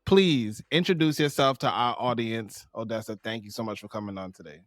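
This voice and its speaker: A man hosts a podcast interview with an upbeat, promo-style delivery. His voice sits a little low and sounds dry and close to the mic. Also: fairly quick, no room sound. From New York and Barbados. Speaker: podcast host.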